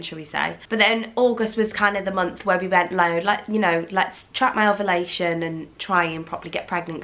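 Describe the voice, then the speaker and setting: A young woman from England talks to her camera in a loose, candid way, full of fillers and restarts. Her nose is blocked and she sounds fluey. Her voice sits fairly low for a woman. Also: fairly bright tone. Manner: chatty and candid, frequent fillers and restarts, sometimes swears. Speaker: vlogger. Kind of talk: casual chat to camera.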